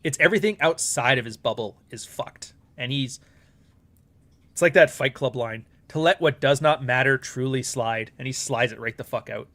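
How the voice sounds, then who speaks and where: A man's voice: mid-range voice, normal speed, a bit bright. A middle-aged Canadian man with a Canadian accent hosting a casual live stream, talking bluntly with frequent swearing and rambling asides. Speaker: livestream host.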